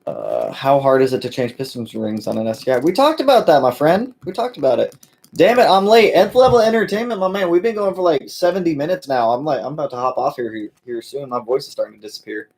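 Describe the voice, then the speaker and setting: A man's voice: a little high, lively intonation, fast talker, a little mellow. A man hosting a casual live-stream Q&A for his car channel, answering viewers in a laid-back way with lots of fillers and friendly call-outs.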